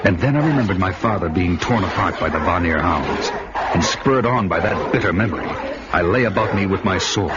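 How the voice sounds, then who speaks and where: An actor playing a gruff, vengeful barbarian hero who narrates his own tale in dramatic radio-play style. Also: lowish voice, highs cut off, heavy background noise. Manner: grave, dramatic first-person narration.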